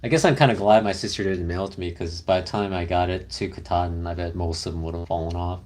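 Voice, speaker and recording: Brief: young male streamer, low-ish voice, relaxed rambling chat with fillers. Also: fast talker. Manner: rambling, easygoing, many fillers. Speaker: livestream host. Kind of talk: casual livestream chat reading comments. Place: car camping, indoors with partner.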